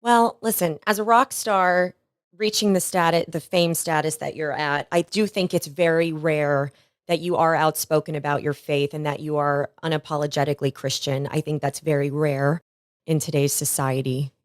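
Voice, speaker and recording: Female interviewer, low voice, earnest, dry close sound. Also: fairly even intonation, normal speed, no echo.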